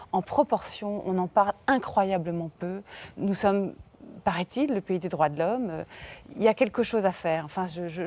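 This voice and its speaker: A woman's voice: medium pitch, darkish tone. A woman giving a closing philosophical commentary on a TV debate, impassioned and searching for her words. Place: TV studio.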